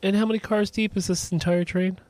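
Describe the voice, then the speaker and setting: A man's voice: sits high, fairly even intonation, brisk, a little mellow, no echo. A man playing a tabletop game, bantering casually in a playful, theatrical manner.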